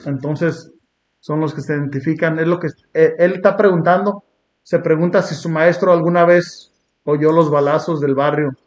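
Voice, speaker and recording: A man from Long Beach, California, hosting a podcast and talking in an earnest, reflective way with blunt street slang. He sits on a small dark indoor studio set. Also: fairly high pitch, steady tone, moderate pace. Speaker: podcast host. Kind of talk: casual reaction chat. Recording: muffled lo-fi sound.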